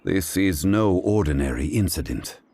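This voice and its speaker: A man playing a calm, learned, dignified gentleman in a video game, speaking in a measured, formal and grave manner. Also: deep voice, husky, no room sound, full character voice. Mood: grave, composed. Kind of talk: game character acting.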